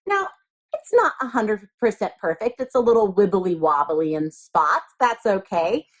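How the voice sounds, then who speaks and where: Slow, animated woman teaching young children. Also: medium pitch. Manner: simple, patient explaining to young children. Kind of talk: children's craft lesson, step-by-step instruction.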